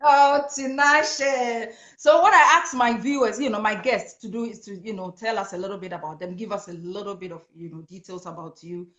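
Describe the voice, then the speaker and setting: A woman hosting a live-streamed talk show, excitable and quick to laugh, with many fillers. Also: medium pitch. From Ghana. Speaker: host of an online talk show. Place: home in Brisbane. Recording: echoey room.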